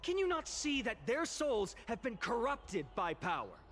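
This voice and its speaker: A man's voice: extremely high pitch, sing-song, moderate pace, fairly bright tone, a little hiss or hum, exaggerated voice. A man playing an impassioned young orator in a video game, addressing an assembly with emphatic, eloquent, theatrical rhetoric. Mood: fervent, pleading. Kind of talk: dramatic theatrical game voice acting, public oration.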